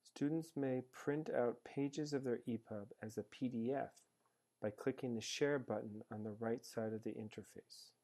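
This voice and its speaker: Mellow male tutorial narrator. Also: mid-range voice, steady tone, moderate pace. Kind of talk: scripted instructional narration. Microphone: screencast voice-over.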